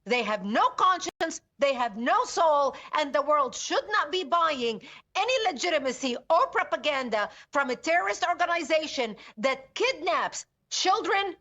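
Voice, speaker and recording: A woman from Lebanon speaks as a news interview guest, indignant and forceful, driving her points home by repetition. Her voice is high and bright and carries a sharp edge. The sound comes over a dull, lo-fi remote link. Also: very animated, unhurried. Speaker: interview guest, security analyst.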